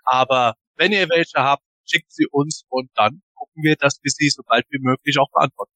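A man's voice: medium pitch, moderate pace, sharp tone, no echo, low-quality recording. A man co-hosting a podcast, addressing listeners in a casual, informal style. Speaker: podcast co-host.

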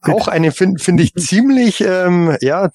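A man talking as a podcast panelist in a casual fan discussion, enthusiastic but halting. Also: high-pitched, lively intonation, a little mellow.

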